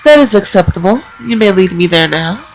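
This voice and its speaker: A woman's voice: mid-range voice, animated, brisk, soft background music, natural voice, poor audio. Woman playing a haughty, demanding young princess. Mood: curt, commanding. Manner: pompous, commanding. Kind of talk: visual novel character read-aloud.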